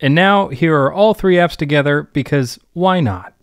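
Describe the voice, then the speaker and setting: A man's voice: on the high side, animated, normal speed, music in the background. A man hosting and narrating a scripted tech review in a voice-over. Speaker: host of synth app demo videos.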